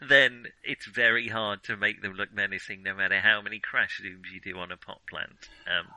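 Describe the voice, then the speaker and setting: Male podcast co-host, very bright forceful tone, lowish pitch, circling hesitant phrasing, casual film chat. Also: moderate pace, no room sound, rough recording.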